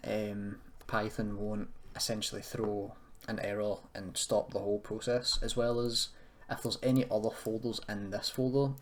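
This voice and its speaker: Low-voiced man giving an informal tutorial. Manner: hesitant, self-deprecating, thinking aloud. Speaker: tutorial presenter. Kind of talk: informal software tutorial, screen walkthrough. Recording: noisy room with keyboard clicking.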